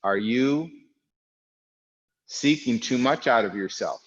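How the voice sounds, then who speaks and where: A man guiding an online yoga class, with a loud, strong voice, calm and reflective, speaking in unhurried phrases with pauses. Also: medium pitch, highs cut off. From United States. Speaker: yoga teacher. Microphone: recorded for an online class video.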